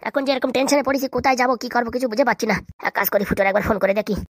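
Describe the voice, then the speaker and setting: A man's voice: very high voice, racing, no room sound, clean studio sound. A man voicing a troubled, remorseful married villager who drinks, grumbling and scolding himself in a comedy cartoon. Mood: worried, grumbling. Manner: grumbling, self-scolding, rambling. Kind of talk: comedy cartoon dialect acting.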